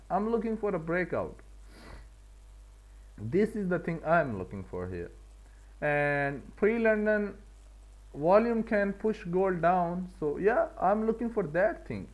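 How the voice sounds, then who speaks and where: Casting: male live-stream trading host, Pakistani accent, voice a bit on the high side, casual and repetitive for emphasis. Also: very animated, slow pace, pauses often, dull audio. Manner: repeats and rephrases points for emphasis.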